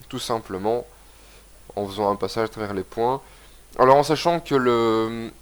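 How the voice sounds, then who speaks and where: A young man with a cold giving rambling, casual commentary while playing a video game and reading its text aloud. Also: medium pitch. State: has a cold, congested. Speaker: gaming video host. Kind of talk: casual let's-play commentary.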